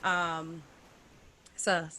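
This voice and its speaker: A woman on an online panel, describing her marketing job in halting speech with many restarts and repeated words. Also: lowish voice, level tone, sharp tone. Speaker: panel guest, music marketing director.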